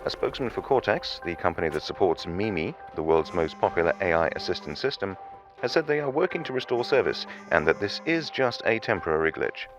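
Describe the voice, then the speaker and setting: Music plays behind a fairly low-voiced newsreader acted by a man. The bulletin delivery is formal and measured, yet very varied in intonation. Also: animated, dull audio. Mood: serious, formal. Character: serious radio newsreader reporting a crisis. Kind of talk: scripted news bulletin delivery.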